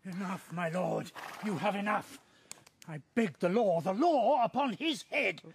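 A man's voice: high-pitched, measured pace, slight background noise. An actor playing a fussy, indignant old father in Shakespearean radio drama, agitated and spluttering. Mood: outraged.

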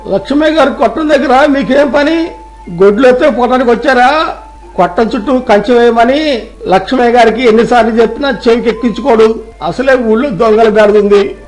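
A woman voicing a suspicious village busybody in a moral story, scolding loudly and sharply. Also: fairly high pitch, a little fast, soft background music, lightly acted, rough recording. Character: scolding, suspicious village busybody guarding against cattle thieves.

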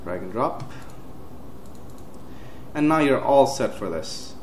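A young man giving a casual step-by-step computer tutorial, with frequent uhs and quick self-corrections. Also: mid-range voice, big pitch swings. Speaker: tech tutorial host. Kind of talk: screen-recorded software walkthrough. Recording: roomy with faint hiss.

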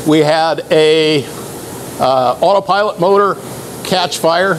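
A middle-aged man presenting a live talk to sailors in a club room, explaining things in an unhurried way with a few restarts and fillers. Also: a little high, slight background noise.

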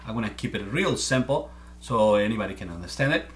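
A man's voice: lowish voice, expressive, fast. A man in his forties from Mexico, with a heavy Mexican Spanish accent, earnestly explaining math step by step to a webcam at home. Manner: earnest, repeats words while thinking. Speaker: amateur math presenter. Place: small room at home, indoors.

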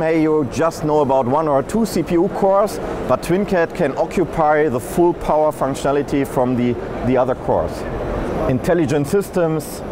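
A man in his thirties explaining a product demo at a trade show booth, eager and gesturing as he talks. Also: mid-range voice. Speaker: company expert. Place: busy trade show hall, indoors. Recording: noisy hall background.

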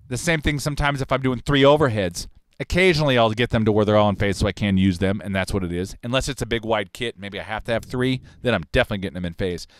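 A man in his forties, a recording engineer and host, talking in a relaxed, rambling, technical way in a studio control room on a broadcast mic. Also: medium pitch, smooth, no room sound. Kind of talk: casual livestream talk on recording. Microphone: large broadcast mic on arm, headphones on.

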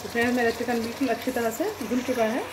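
High-voiced woman over sizzling kitchen noise. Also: steady tone, quick pace. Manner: step-by-step, addresses viewers as friends. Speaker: home cook presenting a recipe. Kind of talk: casual recipe narration. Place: home kitchen by the stove. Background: loud sizzling and frying food.

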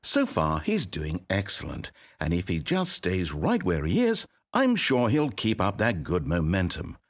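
An actor playing a pompous, needy all-knowing video game storyteller, with a British accent and an articulate, wry, melodramatic delivery. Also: male voice, sits low, huge pitch swings, a little slow, exaggerated voice. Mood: wry, sardonic. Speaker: game narrator. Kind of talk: theatrical scripted narration.

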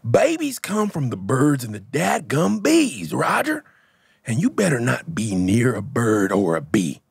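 A man playing a gruff, grizzled military veteran with a gritty voice, grumpy and barking in a comedy sketch. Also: medium pitch, huge pitch swings, a little slow, dry recording, clean studio sound, pitched below the performer's own voice, full character voice. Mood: proud, barking. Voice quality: gritty, gravelly. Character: gruff grizzled old war sergeant in a boy's body.

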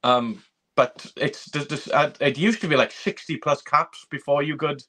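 A man's voice: a little high, normal speed, sharp tone. A young man, chatting casually as a guest on a video call from a small room, with many fillers. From Wales. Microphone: video call webcam.

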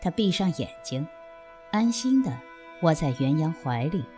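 A woman's voice: sits low, sing-song, a little slow, warm tone, soft background music. A woman narrating an audio drama from a script in storytelling style.

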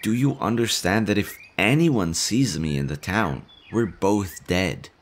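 A timid young slime monster, voiced by a man for an audio roleplay, with a very low voice. He sounds nervous, stammers and frets, and faint forest birdsong plays behind him. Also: lively intonation, a little hiss or hum. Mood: worried. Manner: nervous, stammering, quick to worry. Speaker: voice actor.

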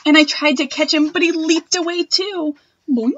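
A young woman reads a children's picture book aloud. Her voice is fairly high, with a gentle, expressive delivery. The sound is dry and slightly dull, from a device mic at home. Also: normal speed, no room sound. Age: young adult. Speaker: storytime reader. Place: wood-panelled room at home.

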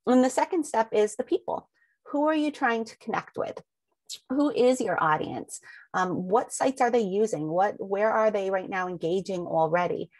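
A woman leads an online training session in an easy, conversational way, asking her listeners one question after another and filling the gaps with ums. She sounds slightly sniffly. Her voice sits at a middle pitch and comes through dry and close, with no room sound. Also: darkish tone, no room sound. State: sniffly from allergies. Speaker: webinar presenter. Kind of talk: webinar presentation over slides.

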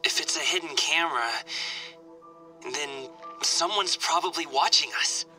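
A man's voice: fairly high pitch, unhurried, pushed and bright, faint music behind. An actor playing an earnest, eager young man in a video game drama, speaking sincerely and informally. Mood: thoughtful. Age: young adult. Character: earnest, eager young male firefighter, raised as an orphan.

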